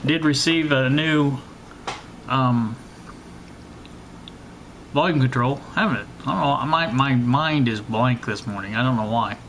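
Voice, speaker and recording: Older male hobbyist host, sixties, average pitch, unscripted and hesitant, amateur recording with noticeable background noise. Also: a little slow, long pauses, fairly bright tone. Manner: hesitant, rambling. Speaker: hobbyist electronics repair host. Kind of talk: casual, unscripted how-to talk. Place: kitchen at home. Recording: clear background noise, amateur home video.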